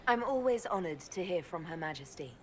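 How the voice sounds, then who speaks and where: A woman's voice: fairly low pitch, expressive, brisk, slight background noise, lightly acted. An actress playing a composed, diplomatic lady inquisitor in a fantasy game, polite and self-possessed.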